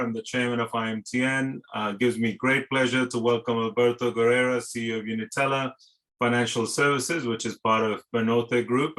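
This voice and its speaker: A man's voice: medium pitch, a bit bright, rough recording. A man hosting a video-call interview, chatty and loose, leaning on filler phrases. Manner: chatty, hedging with "sort of" and "you know". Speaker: interview host, association chairman.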